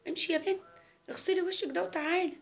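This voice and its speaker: Woman doing a bossy granny in a story, high n shrill, shocked, scolding fast. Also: steady tone, vocal fry.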